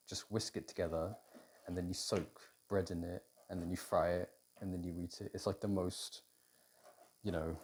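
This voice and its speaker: A British man in an intimate, casual ASMR roleplay as a boyfriend cooking, talking in an unhurried, hesitant way as if thinking aloud. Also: low-pitched, a little mellow, a little hiss or hum. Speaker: audio roleplay performer playing a boyfriend cooking. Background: kitchen food preparation sounds.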